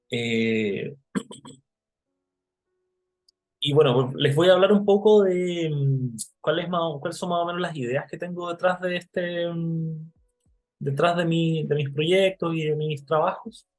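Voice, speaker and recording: A young Chilean man speaks at a medium pitch into a computer mic on a video call, pausing often with fillers and restarting his sentences. Soft music plays faintly behind him as he presents his work to an online audience. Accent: Chilean Spanish. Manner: hesitant, many fillers and restarts. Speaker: artist giving an online talk.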